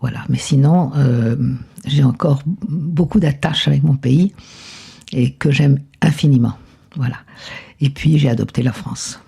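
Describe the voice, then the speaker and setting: An older woman from Argentina, a guest in a relaxed interview, telling her life story in an unhurried, reflective way. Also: very deep voice, gravelly, breathy edge.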